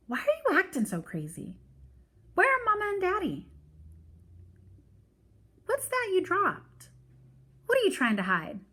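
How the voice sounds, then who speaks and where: A woman's voice: extremely high pitch, huge pitch swings, measured pace, pauses often, pushed and bright, pitched above the performer's own voice, full character voice. A woman voicing a bossy, exasperated little girl in a storybook read-aloud, dramatic and demanding, scolding like her mother. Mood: puzzled, worried.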